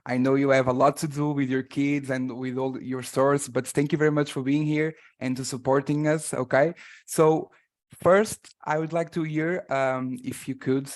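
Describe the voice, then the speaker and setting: A man's voice: mid-range voice, little pitch movement, moderate pace. A man with a Portuguese accent, a native Portuguese speaker, hosting an online class and introducing a guest. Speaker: host and translator of an online class. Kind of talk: introducing a guest on a live call.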